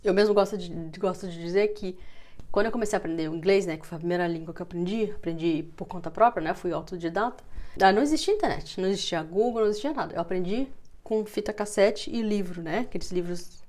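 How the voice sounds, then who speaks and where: A woman's voice: lowish voice, fast talker, a little mellow. Brazilian woman teacher explaining conversationally. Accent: Brazilian Portuguese. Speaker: language teacher. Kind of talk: informal explanatory talk to camera.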